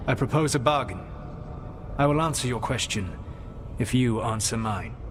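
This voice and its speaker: An actor as an ancient elven trickster from a fantasy game. He speaks in a medium male pitch, formal and composed, weighing each word, with clear rumbling ambience behind him. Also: animated, normal speed, slight background noise, natural voice. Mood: cool, probing. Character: ancient elven trickster god, a man, calm and calculating.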